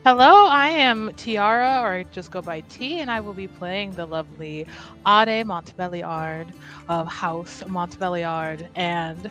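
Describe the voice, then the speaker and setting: A woman's voice: lowish voice, unhurried, fairly bright tone, faint music behind. A woman speaking casually as a player, introducing herself and her tabletop game character.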